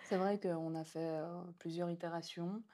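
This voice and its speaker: A woman, a music producer guest, speaking gently with many hesitations. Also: lowish voice, fairly even intonation. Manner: hesitant, many "euh" pauses, kind and gentle. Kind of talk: casual podcast conversation.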